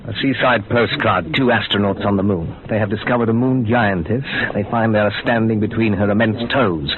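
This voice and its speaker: Muffled, narrow audio with a clearly busy background carries a male actor as an assured, faintly uncanny man in a radio thriller. He speaks somewhat low, in measured declarations. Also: a crowd in the background, natural voice. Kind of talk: radio drama acting.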